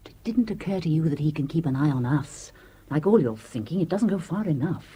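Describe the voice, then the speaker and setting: A cold, scheming sister in a radio drama, cutting and bossy. Her low, mellow voice is slightly husky and rises and falls with sharp scorn. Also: lively intonation, fairly quick, exaggerated voice. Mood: scornful. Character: scheming haughty middle-aged woman, Claire. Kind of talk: 1970s radio drama acting.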